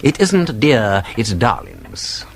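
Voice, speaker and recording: An actor playing a witty, talkative aristocratic sleuth in a radio drama, with an upper-class English accent and a playfully pedantic, theatrical manner. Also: male voice, lowish voice, sing-song, slow pace, a little hiss or hum, exaggerated voice. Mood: breezy, amused. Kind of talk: British radio drama acting.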